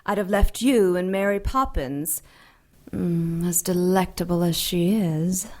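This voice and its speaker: A woman playing a seductive, vain ancient dark angel in an audio drama, teasing and theatrical, savoring her words with amused menace. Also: fairly low pitch, measured pace, natural voice. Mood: sly, teasing.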